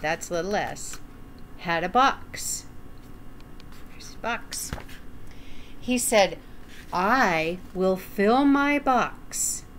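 An older woman reading a children's picture book aloud at home, enunciating deliberately and putting questions to the young listener. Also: medium pitch, expressive, a bit bright, a little hiss or hum. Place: small room at home, indoors.

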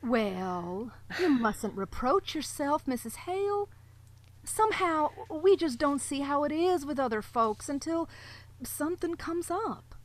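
An actress playing a timid, gentle sheriff's wife in a live audio drama, with hesitant period rural speech. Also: female voice, sits high, very animated, unhurried, low-quality recording. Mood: consoling. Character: timid sheriff's wife, young woman, soft. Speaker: Mrs Peters. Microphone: home microphone in a closet.

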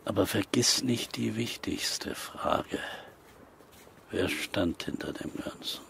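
A brooding, seasoned male investigator in a crime radio play. His low voice is extremely gravelly, and he ponders slowly and sceptically. There is faint noise behind him. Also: fairly bright tone, heavily acted. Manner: deliberate, pondering.

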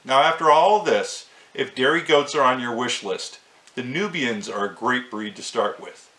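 A middle-aged man hosting a short farm-animal video, reading a script to camera in a clear, measured way. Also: medium pitch, bright, forceful tone, echoey room, rough recording. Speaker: host.

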